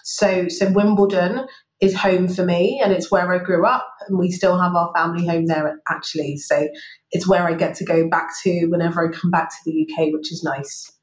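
A woman's voice: fairly low pitch, fairly even intonation, normal speed. A woman from the UK, a guest in a podcast interview, talking reflectively with many "you know"s. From United Kingdom. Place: remote call. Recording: roomy remote recording.

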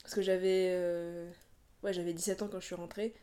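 A woman's voice: fairly low pitch, level tone, normal speed, warm tone. A woman in her twenties, co-hosting a podcast, talking in a reflective, rambling way with many fillers. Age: 20s. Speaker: podcast co-host. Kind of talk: casual chat.

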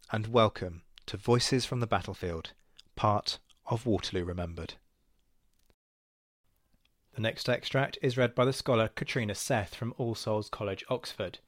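A man's voice: on the low side, moderate pace, no echo, clean studio sound. A man presenting a podcast with a scripted introduction.

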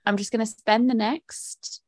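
Upbeat woman co-host, relaxed book chat, dry close mic. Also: on the high side, a little slow, no echo. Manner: chatty, upbeat, laughs often. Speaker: podcast co-host. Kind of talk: casual chat.

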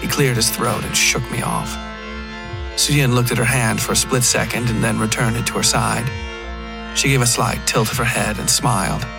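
A male narrator with a fry-edged, mid-pitched voice reads first-person fiction. Guitar music runs clearly behind the scripted narration. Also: lively intonation, moderate pace, poor audio. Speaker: narrator of a horror fiction podcast.

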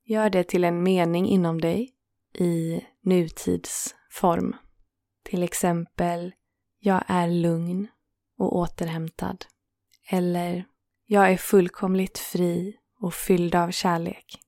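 A woman guiding a yoga nidra relaxation in a calm, soothing manner, leaving gentle pauses between phrases. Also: on the low side, gravelly, dry recording, clean studio sound. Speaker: meditation guide and host.

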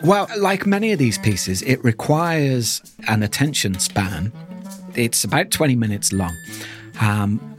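A man hosting a podcast, talking through an introduction in a thoughtful, explanatory way. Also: mid-range voice. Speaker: podcast host.

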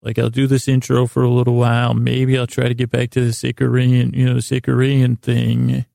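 Creaky, gravelly, rambling male podcast host. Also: medium pitch, monotone, warm tone, no echo, clean studio sound. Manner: meandering, halting, self-deprecating, often losing his thread. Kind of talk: rambling sleep podcast monologue.

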